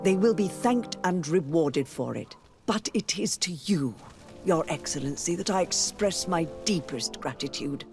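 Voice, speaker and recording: An actor playing a stern, authoritative high church dignitary in a video game, speaking formally and imperiously. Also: male voice, expressive, a little slow, creaky, a little mellow, faint music behind. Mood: grateful, gracious. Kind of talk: scripted video game voice acting.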